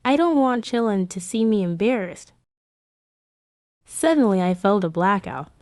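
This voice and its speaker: A woman's voice: mid-range voice, animated, a little slow, a little mellow, no echo, clean studio sound. A woman giving a scripted first-person narration for a romance comic dub.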